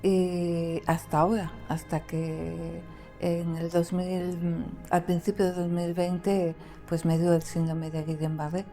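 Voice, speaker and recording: A woman guest who cannot pronounce the letter R, telling her story plainly with many pauses and fillers. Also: on the low side, flat delivery, dark tone, faint music behind. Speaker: interview guest. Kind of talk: conversational storytelling.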